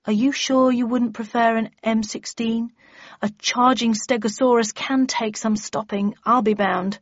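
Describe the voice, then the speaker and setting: Fussy, formal storekeeper man, husky woman voicing him. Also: a little high, fairly even intonation, a little slow. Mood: doubtful. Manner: formal, itemising, quaintly polite. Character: polite, fussy, middle-aged male storekeeper clerk. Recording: muffled audio, roomy echo.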